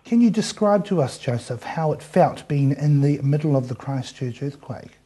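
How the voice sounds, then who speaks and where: A man's voice: on the high side, expressive, normal speed, dark tone, slightly rough. A middle-aged man, presenting news and asking interview questions formally from a studio desk on a lapel mic. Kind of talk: scripted news reading and interviewing.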